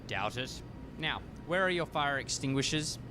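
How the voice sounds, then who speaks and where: An actor playing a stern, pompous university lab inspector in a comic radio play, officious and condescending. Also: male voice, on the high side, lively intonation, moderate pace, noisy background, natural voice. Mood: sardonic, irritable.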